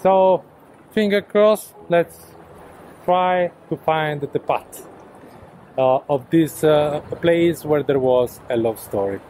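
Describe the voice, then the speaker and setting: Halting man vlogging outdoors. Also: on the high side, expressive, darkish tone, slight background noise. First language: Italian. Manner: hesitant, many "uh" fillers while telling a story. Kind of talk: casual travel vlog. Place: outdoors on a rocky seaside coast. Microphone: action camera on a selfie stick. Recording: background music.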